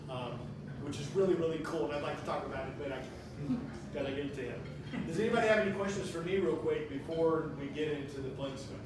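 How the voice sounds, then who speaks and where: A man with a slightly higher voice talks to a small group in a loose, rambling way, restarting his sentences often. The sound bounces around a reverberant room and comes from a distant mic. Background noise is clear underneath him. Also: fast talker. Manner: rambling, conversational, lots of restarts. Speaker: guest speaker. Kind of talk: presentation to a small audience.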